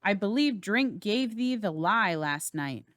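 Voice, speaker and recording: A woman's voice: medium pitch, lively intonation, unhurried, a bit bright, dry recording, clean studio sound. A woman playing a loyal, courteous nobleman in a theatrical, declamatory Shakespeare reading. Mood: courteous, teasing. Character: earnest Scottish nobleman, loyal and courteous, passionate.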